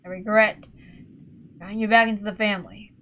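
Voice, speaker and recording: A woman's voice: medium pitch, steady tone, brisk, fairly bright tone, slight background noise, natural voice. Woman playing sly, cynical middle-aged gunman. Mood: brooding. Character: scheming, dismissive middle-aged male killer with a gun.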